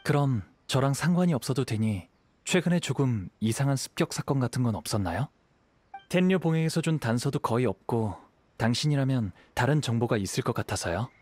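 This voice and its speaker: An actor playing a polite, modest young wandering swordsman in an anime game, speaking courteously and in measured phrases over music. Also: medium pitch, lively intonation, a little mellow, lightly acted. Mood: polite, calm. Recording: music behind the voice.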